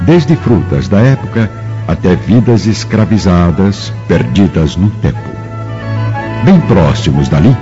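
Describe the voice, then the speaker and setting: A man's voice: deep voice, dark tone. A man with a Brazilian accent narrating a scripted radio drama in a solemn, literary storytelling manner. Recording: dull radio recording with long reverb, music behind.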